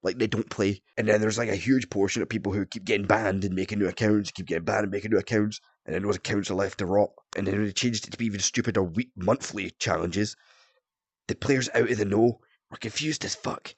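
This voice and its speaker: A young man from Scotland with a partly softened Scottish accent, sick and a bit raspy, ranting casually about a game, swearing often and filling with "like". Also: lowish voice, fairly even intonation, quick pace, no echo. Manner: swears often, heavy filler "like", rambling. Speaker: gaming YouTuber.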